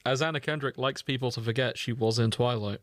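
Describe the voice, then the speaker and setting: A man's voice: mid-range voice, quick pace, no echo. A man co-hosting a podcast in casual comedic chat, with a wry, jokey manner and some swearing. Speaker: podcast co-host.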